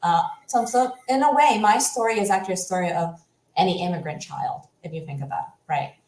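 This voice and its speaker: A woman whose first language is Cantonese, a coach talking as an interview guest in an animated, casual way, often ending with "right?". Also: on the low side, a little fast. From United States. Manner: animated, ends points with "right?". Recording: echoey room.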